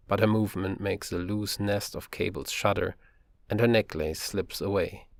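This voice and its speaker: A man reading an audiobook in a calm, measured way. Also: fairly low pitch, vocal fry, no echo. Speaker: audiobook narrator. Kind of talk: audiobook narration.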